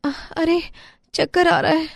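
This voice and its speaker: A woman's voice: very high voice, little pitch movement, takes it slowly, creaky, no room sound, studio quality, voice pushed up, full character voice. A woman playing a meek, overworked young daughter-in-law in a family story cartoon. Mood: faint. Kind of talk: Hindi family moral-story cartoon acting.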